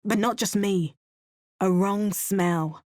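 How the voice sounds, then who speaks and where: A woman voicing a fantasy heroine narrating her memories in the first person, in a quiet storytelling delivery. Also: medium pitch, slow, no room sound, studio quality, natural voice. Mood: reflective. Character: heroine recalling visions and memories. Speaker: player character narrating memories.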